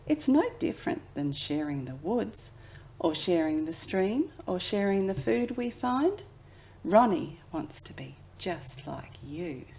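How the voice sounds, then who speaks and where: A woman plays a motherly raccoon from a storybook, unhurried and expressive. She is tender and patient, soothing her young with gentle reassurance in a lowish, creaky voice. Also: heavily acted.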